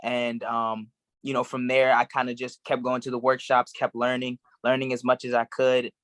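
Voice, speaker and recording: Relaxed man with a dry close voice. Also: mid-range voice, moderate pace, no room sound. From Maryland, USA. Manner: relaxed, rambling with many fillers. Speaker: guest actor. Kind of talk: casual interview answers.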